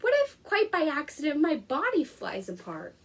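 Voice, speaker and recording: A woman's voice: high voice, moderate pace, a little hiss or hum, pitched above the performer's own voice, heavily acted. A woman reading a storybook expressively as an anxious, literal-minded little boy. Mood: panicked. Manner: expressive storybook read-aloud.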